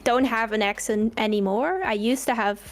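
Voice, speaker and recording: A woman's voice: fairly high pitch, moderate pace. A young Dutch woman with a light Dutch accent, chatting casually as a guest, often laughing and restarting her sentences. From Netherlands. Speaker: guest streamer. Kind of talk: casual chat.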